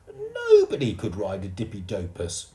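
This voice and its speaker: A man voicing a small, earnest boy who insists he is right, in a squeaky, indignant tone. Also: wildly swooping pitch, unhurried, mellow, heavily acted.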